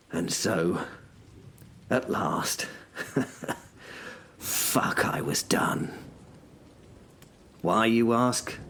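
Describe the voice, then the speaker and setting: A man plays a man at the end of his strength after holding the abyss open. He tells the story slowly, in a low, gravelly voice. His grim delivery keeps slipping into whispers. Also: slight background noise, exaggerated voice. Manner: grim, hushed, often whispering. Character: exhausted man who held the abyss open. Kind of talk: dark horror audio drama narration.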